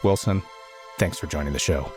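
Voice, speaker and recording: Formal podcast host, music under him. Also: male voice, very deep voice, darkish tone. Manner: measured, formal announcer delivery. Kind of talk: scripted show intro and interview questions.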